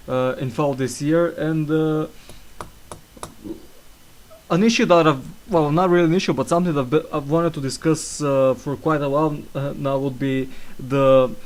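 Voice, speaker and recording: A man's voice: fairly high pitch, fairly even intonation, normal speed. A young man hosting a casual podcast, with a slight cough, talking hesitantly and stumbling through fillers and restarts. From England. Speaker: podcast host. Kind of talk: casual chat.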